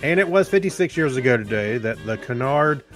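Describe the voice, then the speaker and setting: A man co-hosting a podcast, chatting and reading segments in a halting, rambling, joking way. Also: medium pitch, lively intonation, a bit bright, soft background music. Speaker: podcast co-host. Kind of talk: casual chat and segment reading.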